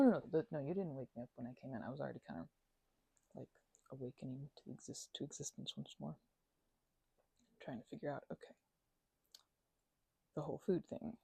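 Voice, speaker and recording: A woman's voice: a bass voice, vocal fry, dark tone, husky, no room sound, exaggerated voice. A woman playing a chatty, playful, bashful young housemate in an ASMR roleplay, rambling and stammering as if in casual conversation. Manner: rambling, halting, stammering, giggly asides.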